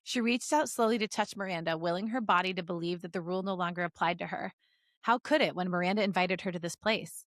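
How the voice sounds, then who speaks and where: A woman's voice: on the low side, quick pace, no room sound, studio quality. A woman podcast co-host reading fan fiction aloud in a giggly, joking way with casual asides.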